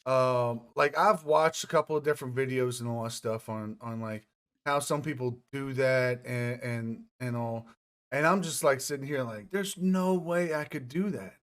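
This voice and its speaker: A man's voice: medium pitch, normal speed. A man in his twenties hosting a podcast, talking in a loose, rambling way with lots of filler words. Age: 20s. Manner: rambling, many fillers and restarts. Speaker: podcast host. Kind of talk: casual chat.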